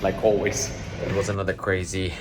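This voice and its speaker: A man's voice: sits low, completely flat delivery, a little fast. A man with a Ukrainian accent, chatting casually through a vlog while working on a cruise ship. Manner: chatty, slightly broken English, upbeat. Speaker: assistant cruise director vlogging. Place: on a cruise ship, often on open deck. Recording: music playing behind the voice.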